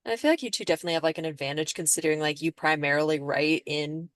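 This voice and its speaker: Low-voiced young woman, dry close sound. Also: racing, no room sound. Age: young adult. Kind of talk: casual podcast discussion.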